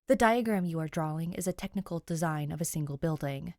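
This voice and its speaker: A woman's voice: deep voice, fairly quick, a little mellow, no echo, clean studio sound. A woman voicing a formal, condescending teenage boy with clipped speech in an audio story. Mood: stern, instructing.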